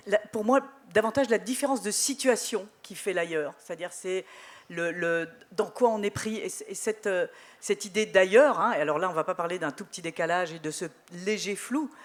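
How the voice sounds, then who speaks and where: A woman from Belgium, a journalist guest on a festival panel, telling animated anecdotes with wry humor. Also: lowish voice. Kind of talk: live panel conversation.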